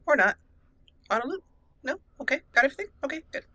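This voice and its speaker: A woman's voice: high voice, expressive, quick pace, bright, forceful tone, dry recording. A woman hosting a let's play, chatting casually and self-mockingly as she plays, often giggling. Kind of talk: casual gameplay commentary. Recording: faint game music behind. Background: game music.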